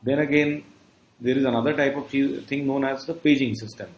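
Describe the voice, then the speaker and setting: A male trainer with an Indian accent explains things step by step in a didactic manner. His voice is mid-pitched and rings in a roomy, echoey space, with faint noise behind it. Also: steady tone, a little fast. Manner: didactic, often checking in with "okay". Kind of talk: instructional lecture, explaining step by step.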